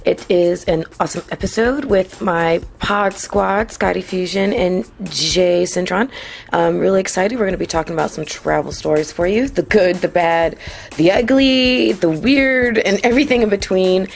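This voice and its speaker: A slightly hoarse woman hosting and producing a podcast, bubbly and excitable in casual chat. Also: lowish voice, moderate pace, a little hiss or hum. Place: restaurant on the water.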